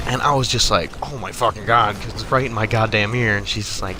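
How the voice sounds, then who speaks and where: A man's voice: lowish voice, fast, over music. A young American man telling casual comic stories over gameplay in a profane, exasperated, conversational way. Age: young adult. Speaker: gaming video creator. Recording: noticeable background noise.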